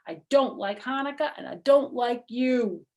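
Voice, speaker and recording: Lady doing a grumpy greedy goblin guy from a storybook, loud emphatic grumbling. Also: on the high side, lively intonation, measured pace, pitched above the performer's own voice. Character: sullen, greedy male winged goblin, sore loser.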